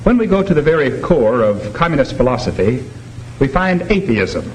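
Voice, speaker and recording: A man's voice: medium pitch, big pitch swings, moderate pace, natural voice. A man lecturing formally and emphatically as a teacher in a 1950s educational film, on a noisy old soundtrack. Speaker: teacher leading a classroom discussion.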